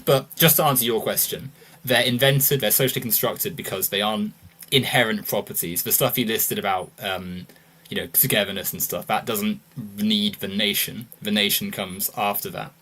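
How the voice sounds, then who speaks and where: British man explaining at length, bright voice. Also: lowish voice. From United Kingdom. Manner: explanatory, measured, with many fillers. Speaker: guest. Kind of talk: casual political discussion.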